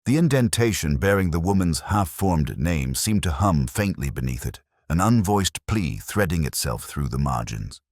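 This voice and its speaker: A man with an extremely low voice narrates a detective mystery as a brooding, weary investigator. His delivery is measured and solemn, yet the pitch moves expressively through each phrase. The recording is dry and close, with no sense of a room. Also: dry recording. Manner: measured, literary, solemn storytelling. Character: brooding, world-weary male police detective recounting a case. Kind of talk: scripted first-person detective story narration.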